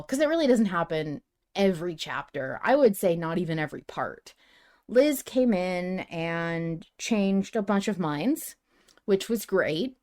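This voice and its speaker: Woman casually talking things through, lowish voice. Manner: hedging, thinking aloud. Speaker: podcast host. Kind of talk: casual discussion of a fiction podcast.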